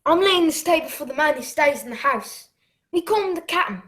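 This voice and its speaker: A woman's voice: high-pitched, expressive, a little fast, a bit bright. A woman playing a polite, earnest young boy who narrates his adventure in a radio play. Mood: eager, anxious.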